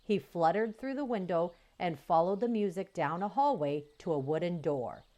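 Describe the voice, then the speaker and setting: Woman, children's audiobook reader, expressive, lively pitch. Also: moderate pace. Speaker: storyteller. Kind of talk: children's audiobook read-aloud.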